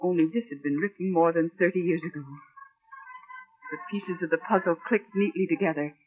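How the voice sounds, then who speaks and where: Earnest radio-mystery heroine, old recording. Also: female voice, lowish voice, fairly even intonation, a little fast, husky, narrow, tinny audio, soft background music. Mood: brooding. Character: earnest, determined female secretary, haunted by suspicion. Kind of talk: old-time radio mystery acting with narration.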